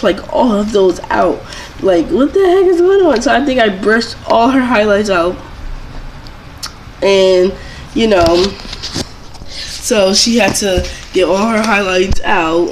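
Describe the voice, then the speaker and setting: A woman's voice: medium pitch, poor audio. Young woman doing a chatty storytime, rambles and repeats herself, bit of noise behind her. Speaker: doll collector vlogger telling a story.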